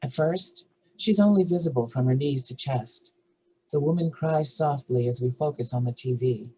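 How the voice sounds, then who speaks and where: Slightly husky male script narrator. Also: mid-range voice, normal speed, darkish tone, poor audio. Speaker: narrator of stage directions.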